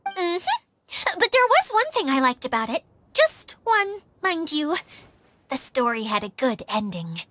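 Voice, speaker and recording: Shy but theatrical game young woman: high, bright voice, wry delivery. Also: wildly swooping pitch, a little slow, no echo, full character voice. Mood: dryly critical.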